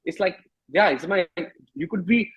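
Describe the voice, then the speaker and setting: A man in his thirties with an Indian accent, speaking candidly and reflectively as an interview guest, often halting and rephrasing his thoughts. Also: high-pitched, expressive, creaky, husky, muffled recording. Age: 30s.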